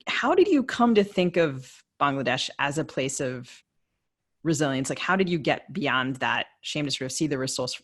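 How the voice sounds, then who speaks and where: A woman co-hosting a podcast, asking thoughtful, discursive questions in a conversational literary interview style. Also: deep voice.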